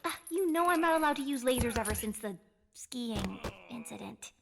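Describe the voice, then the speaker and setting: A woman's voice: fairly high pitch, lively intonation, moderate pace, vocal fry, a crowd in the background. A woman playing an eager, earnest young sidekick in a detective audio drama. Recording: hall-like reverb, faint noise.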